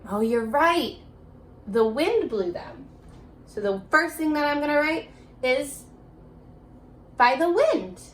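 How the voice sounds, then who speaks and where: High, animated woman teaching young children. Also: slow pace, long pauses, slight background noise. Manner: encouraging, questioning, speaking directly to young pupils. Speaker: elementary school teacher. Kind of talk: children's remote reading lesson, alternating slow read-aloud and chatty prompts.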